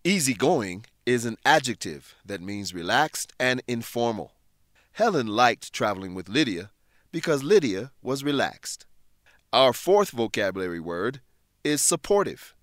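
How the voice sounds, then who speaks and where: Animated male English-lesson narrator. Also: medium pitch, dry recording, studio quality. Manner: clear, deliberate explaining. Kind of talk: scripted educational narration.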